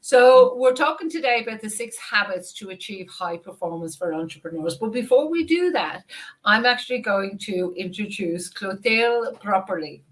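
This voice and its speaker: An Irish woman hosting a business interview, effusive and upbeat as she talks to her audience and guest. Her voice sits at a middle pitch. It comes through a video call. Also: expressive, normal speed, low-quality recording. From Ireland. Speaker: host. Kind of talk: interview host introduction.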